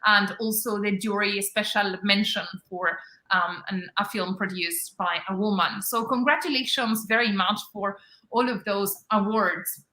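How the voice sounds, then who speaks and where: A woman's voice: medium pitch, steady tone, unhurried, pushed and bright. A woman from southern Spain with a Spanish accent, formally introducing a guest as the chair of an academic event. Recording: roomy, echoing sound.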